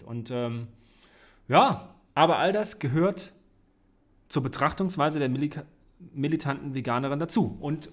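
Chatty man rambling into a desk mic. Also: fairly high pitch. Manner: rambling, chatty, frequent self-corrections. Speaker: reaction video host. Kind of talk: casual reaction commentary. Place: home studio with desk and shelves. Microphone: studio mic on desk, headphones.

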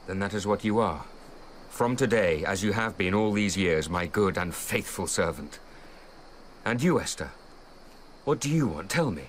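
Resolute low-voiced young nobleman. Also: animated, normal speed. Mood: gracious. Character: proud, resolute, generous young nobleman of ancient Judea. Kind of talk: radio drama acting. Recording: radio drama with faint background noise.

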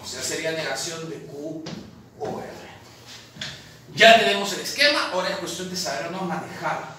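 A man teaches at a chalkboard in a lively, questioning way. His voice sits high and sounds sharp and bright. He is caught by a far-off camera in a very echoey room with a faint hiss underneath. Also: moderate pace. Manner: lively, asks questions and answers them himself, calls the viewer "papi". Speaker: math tutor at an admissions academy. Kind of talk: chalkboard lesson solving exam problems. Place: classroom at a chalkboard, indoors.

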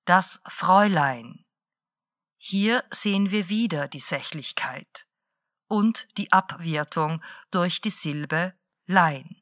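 A woman playing a late-night radio presenter who lectures in a slow, deliberate and didactic way. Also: fairly low pitch, lively intonation, a bit bright, no room sound, clean studio sound. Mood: lecturing.

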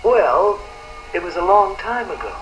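High-voiced, eerily insistent hotel clerk. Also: male voice, big pitch swings, a little slow, soft background music, rough recording. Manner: insinuating, insistent. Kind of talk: old radio drama acting. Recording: old radio drama played through cassette speaker.

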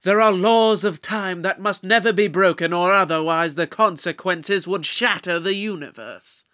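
Resolute alien time-traveler played by a man for audio drama: high-pitched, grandly moralizing, slightly dull recording. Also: normal speed, pushed and bright. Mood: defiant, stern.

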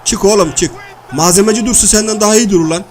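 A man playing a loud, crude, bickering comedy everyman who nags in slangy repeats during cartoon-style video-game banter. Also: sits high, fast, slight background noise, natural voice. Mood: loud, outraged. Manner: slangy, blustering, nagging, repeats phrases. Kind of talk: comic video-game banter and match commentary.

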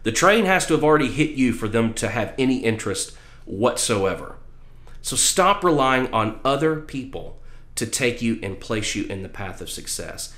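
Male, middle-aged, medium pitch. Emphatic career-advice vlogger speaking to camera in an echoey small room. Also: sing-song, normal speed, a bit bright. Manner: emphatic, repeats phrases for stress. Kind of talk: motivational talk to camera. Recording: roomy, echoey indoor sound.

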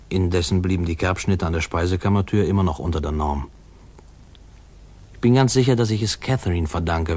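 An actor playing a grown man narrating memories of his boyhood in a 1950s German radio play, in a measured, wry way. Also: low-pitched, darkish tone. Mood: wry, reflective. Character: adult man wryly recalling his small-town boyhood.

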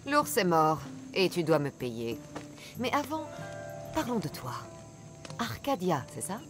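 A woman's voice: fairly low pitch, big pitch swings, takes it slowly, over music. An actress playing a tough, wry female mercenary hunter in a French game dub, dry and blunt. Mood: firm. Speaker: video game voice actor.

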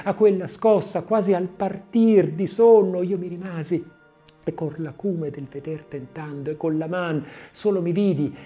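A man reads literature as a narrator, in a declamatory, theatrical manner that moves between swelling and hushed phrases. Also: a little high, dull audio. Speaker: audiobook narrator. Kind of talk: dramatic literary reading of poetry and scripted narration.

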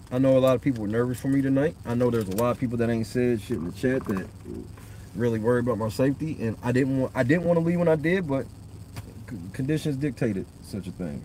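A man in his thirties talks into a phone propped on the dashboard of his parked car at night. His voice sits at an ordinary pitch, and his talk rambles loose and profane. A faint hum of car and street noise stays underneath. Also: fast talker, mellow, low-quality recording. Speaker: livestream host. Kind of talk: casual livestream chat.

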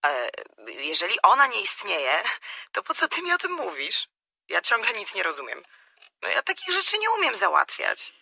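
An actress playing a bewildered, reluctant friend in a comic radio drama, hesitant and whiny. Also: female voice, mid-range voice, wildly swooping pitch, moderate pace, vocal fry, bright, forceful tone, slightly rough, no echo, heavily acted. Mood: flustered, stalling.

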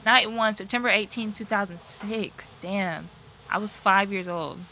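An American woman in her twenties chatting casually as a reaction host, playful, animated and slangy, close on the microphone. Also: medium pitch, measured pace, a little hiss or hum. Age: 20s. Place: small bedroom studio, indoors. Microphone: close boom-arm microphone.

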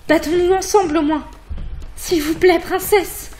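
A woman's voice: extremely high pitch, steady tone, a little slow, a little mellow. A woman playing a devoted, anxious elf servant in a fantasy audio drama, earnest, deferential and pleading. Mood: alarmed. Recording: roomy echo, slightly distant microphone.

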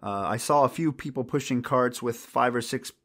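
A man who hosts an interview podcast and teaches at a university, speaking in a measured way and asking plain questions. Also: mid-range voice, fairly bright tone. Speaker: podcast host. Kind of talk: hosting an interview.

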